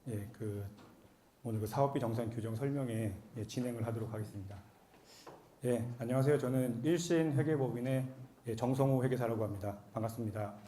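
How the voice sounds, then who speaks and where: A man with a cold giving a formal explanatory seminar presentation as an accountant, speaking politely and a bit haltingly. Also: medium pitch, mellow, slight background noise. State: has a cold.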